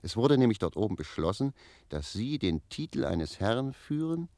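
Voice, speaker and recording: Deep, cheeky philosophizing manservant. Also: male voice, very animated, moderate pace, dark tone, dry recording. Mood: insistent. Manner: argumentative, philosophizing. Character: cheeky, self-assured manservant lecturing his master. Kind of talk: literary radio play dialogue.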